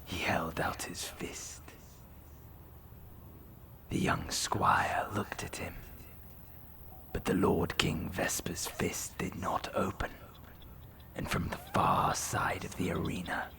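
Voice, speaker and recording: Deep, gravelly man narrating slowly. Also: level tone, pushed and bright, whispered. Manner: grave, deliberate. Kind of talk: scripted dramatic fantasy narration.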